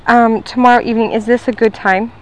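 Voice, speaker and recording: A woman's voice: on the high side, fairly even intonation, fast talker, slight background noise, poor audio. A woman going door to door selling pest control, talking in a friendly, upbeat and talkative way at a front door outside. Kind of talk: sales pitch at a front door. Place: outdoors at a house door.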